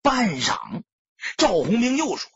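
A man's voice: very high-pitched, sing-song, takes it slowly, fairly bright tone, slightly rough, no room sound, dull audio. A man narrating an audiobook as dramatic scripted storytelling.

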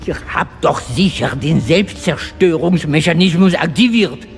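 An actor playing a nervous, placating alien underling in an audio drama, with hesitant delivery. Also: male voice, a little high, expressive, a little slow, faint music behind. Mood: anxious.

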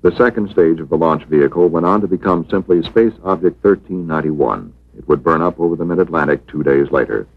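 A man reads scripted documentary narration in a measured, matter-of-fact manner. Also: low-pitched, breathy edge. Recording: dull vintage 1960s film soundtrack.

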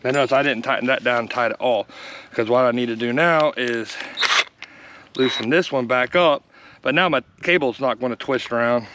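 A middle-aged man giving a relaxed, matter-of-fact how-to demonstration outdoors in a yard, thinking aloud as he works on camera. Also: mid-range voice, very noisy. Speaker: homesteader demonstrating a repair. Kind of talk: casual how-to narration.

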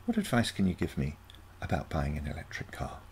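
Man voicing a wry, low-pitched, sarcastic grandmother. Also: wildly swooping pitch, a little fast, slight background noise, heavily acted. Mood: questioning, skeptical. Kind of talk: scripted short story reading.

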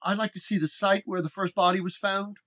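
A man as 1930s detective, terse, flat, self-assured, higher male voice, audio story. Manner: flat, deliberate.

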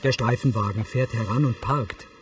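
A man's voice: medium pitch, moderate pace, distant mic, soft background music, lightly acted. A man reading scripted scene descriptions as a neutral narrator for a television film.

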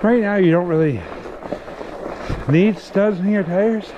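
A man casually narrating his fat bike ride outdoors, thinking aloud in a relaxed, halting way. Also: sits high, muffled recording, background noise. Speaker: vlogger riding a fat bike. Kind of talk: casual narration while riding. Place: outdoors on snowy wooded trails.

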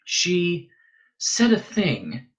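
A man as an earnest, high-voiced young nobleman. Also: wildly swooping pitch, drawn-out words, full character voice, poor audio. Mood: hesitant. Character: earnest, troubled teenage boy, a duke's son.

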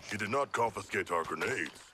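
An actor playing a huge, literal-minded male brute in a video game, deadpan and formal. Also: fairly low pitch, fairly quick, heavy background noise, lightly acted. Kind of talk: video game cutscene acting.

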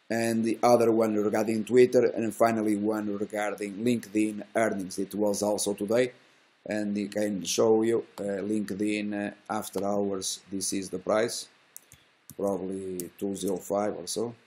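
A middle-aged man who is not a native English speaker, giving unscripted market commentary in halting fragments with drawn-out hesitations and a self-assured manner. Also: lowish voice, a little mellow. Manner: self-assured, boastful about results. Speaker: stock trading educator and host. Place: small indoor room.

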